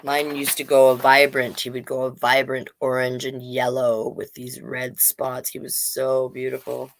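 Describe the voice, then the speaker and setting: A woman's voice: very deep voice, completely flat delivery, measured pace, rough recording. A woman hosting a children's storytime, reading aloud expressively and playfully, with chatty explanatory asides for young listeners. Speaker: host reading a children's picture book.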